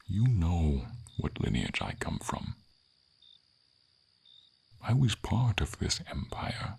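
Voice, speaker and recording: A man voicing an old, friendly silver dragon in an ASMR fantasy roleplay, solemn and tender, with a breathy rasp that often drops to a whisper. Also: deep voice, full character voice. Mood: regretful. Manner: solemn, tender, formal storybook diction.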